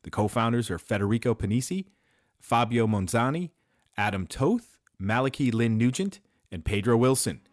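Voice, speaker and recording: A man's voice: medium pitch, lively intonation, normal speed, dry recording, studio quality. A man hosting and reading scripted introductions of guests on a podcast. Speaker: host introducing startup founders.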